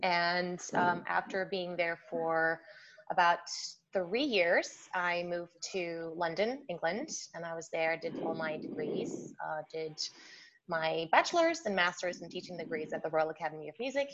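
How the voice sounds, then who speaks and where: A woman's voice: lowish voice, a little slow. A woman from Norway, a pianist guest, chatting casually about her life with lots of fillers. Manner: chatty, warm storytelling with frequent fillers. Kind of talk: casual interview chat. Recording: muffled remote line with faint hiss.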